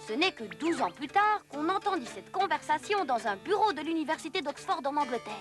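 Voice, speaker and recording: A woman's voice: high-pitched, big pitch swings, a little fast, over music. A woman narrator delivering scripted educational cartoon narration in a storytelling manner.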